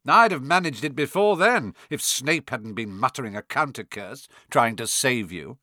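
A man's voice: mid-range voice, lively intonation, normal speed, pushed and bright, no room sound, clean studio sound. A man voicing a cold, smug male villain in an audiobook, mocking and coolly casual in his menace. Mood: coldly boasting. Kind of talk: audiobook character acting.

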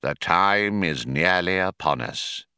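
A man plays a severe male keeper in a storybook, awaiting something momentous. He speaks with solemn, measured weight, and the sound is dry. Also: deep voice, animated, sharp tone, clear, resonant tone, no echo, voice pushed down, full character voice. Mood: solemn anticipation. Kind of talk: audiobook character voice.

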